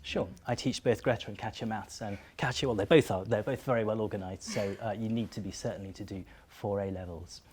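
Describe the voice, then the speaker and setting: A man's voice: lowish voice, fairly even intonation, brisk, hardly any pauses, darkish tone, a little hiss or hum. A man interviewing his students for a school video, friendly and conversational, with frequent small fillers.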